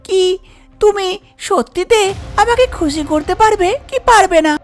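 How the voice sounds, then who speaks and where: A woman's voice: extremely high pitch, moderate pace, pitched above the performer's own voice. A woman voicing a spoiled, demanding rich young woman in a cartoon folk tale. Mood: haughty, demanding. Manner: boastful, blaming.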